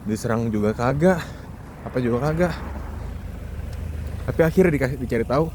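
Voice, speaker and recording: Casting: young Indonesian male vlogger, mid-range voice, informal chatty commentary, clear traffic and outdoor noise behind him. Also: mid-range voice, lively intonation, brisk, dark tone. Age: young adult. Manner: informal, conversational, addresses the audience with questions. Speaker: vlogger, foreign student. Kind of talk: casual monologue commentary to viewers.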